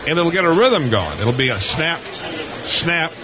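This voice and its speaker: A man's voice: fairly high pitch, big pitch swings, unhurried, a crowd in the background. An American man hosting a casual live radio chat, talking in a folksy, rambling way and repeating words. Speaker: radio show host. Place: booth on busy convention floor. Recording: noisy convention hall floor, live broadcast.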